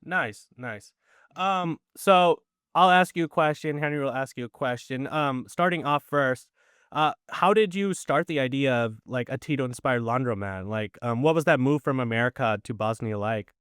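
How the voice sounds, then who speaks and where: Smooth-voiced young podcast host. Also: male voice, mid-range voice, moderate pace, a bit bright, dry recording, clean studio sound. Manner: informal, loose, with fillers. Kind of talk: casual podcast hosting.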